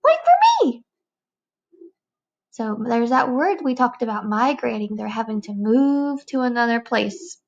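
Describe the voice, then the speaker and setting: A woman's voice: a little high, normal speed, creaky, few pauses, lo-fi sound. A woman teacher speaking to young children in a read-aloud lesson, explaining things in simple phrases. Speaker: elementary school teacher.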